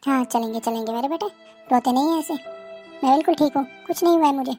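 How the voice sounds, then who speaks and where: Woman as a sick young mom soothing her kid, fairly high voice, gentle, soft music behind. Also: fast, natural voice. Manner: soothing, reassuring, brave. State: weak, ill. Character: dying young mother comforting her daughter.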